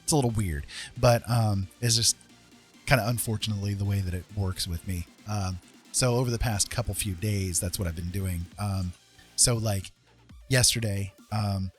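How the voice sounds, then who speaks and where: The low-voiced man you would find on a late-night art stream, sketching and musing haltingly to whoever drops in, music on faintly. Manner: hesitant, thinking aloud. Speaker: livestream host and artist. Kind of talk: casual rambling chat while drawing.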